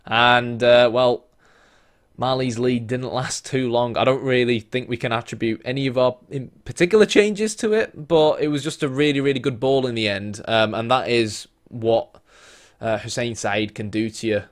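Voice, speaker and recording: A man, losing his voice, casually talking through a football management game, thinking aloud with lots of fillers and bursts of excited play-by-play. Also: medium pitch, moderate pace. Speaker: gaming YouTuber.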